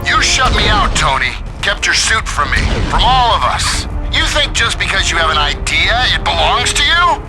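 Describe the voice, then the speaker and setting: A man's voice: high voice, big pitch swings, normal speed, over music. An actor playing an indignant, accusing man in a video game cutscene.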